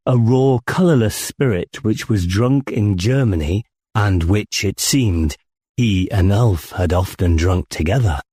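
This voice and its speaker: A man's voice: lowish voice, mellow, no echo, clean studio sound. A man reading scripted first-person story narration as an audiobook narrator.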